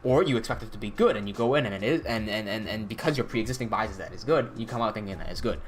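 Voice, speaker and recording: A man's voice: on the low side, fast, slight background noise. A young man from Hong Kong, chatting casually on a live stream and answering chat questions with restarts and blunt swearing. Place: indoor room at desk.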